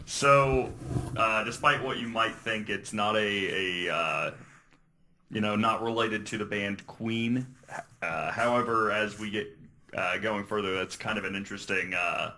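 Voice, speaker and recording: A man's voice: lowish voice, measured pace, pushed and bright, slight background noise. A man hosting a podcast, chatting casually and telling stories to his co-hosts. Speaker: podcast host. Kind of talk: casual podcast chat and storytelling.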